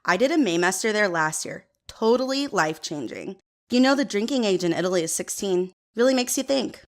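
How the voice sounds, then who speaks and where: Actress for snooty, vapid young woman in audio drama; affected drawl of condescension, very animated intonation. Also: medium pitch, brisk, close to an everyday voice. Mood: smug, bragging. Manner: condescending, affected, valley-girl filler words. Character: snobby, airheaded young woman, groom's pretentious sister.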